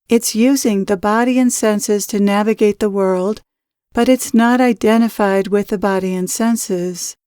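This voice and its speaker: A woman guides a spiritual meditation in a smooth, clean voice at a middling pitch. She speaks in deliberate, instructional phrases, each one measured out. The sound is dry, with no room around it. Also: darkish tone, dry recording.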